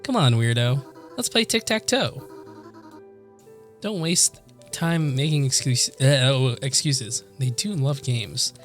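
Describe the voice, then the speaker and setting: A man's voice: medium pitch, lively intonation, slow. A man voicing a playful, boastful girl from a visual novel, with cocky, bantering delivery. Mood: playful teasing. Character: teasing, boastful, playful anime game girl. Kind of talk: visual novel dialogue read aloud. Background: game music.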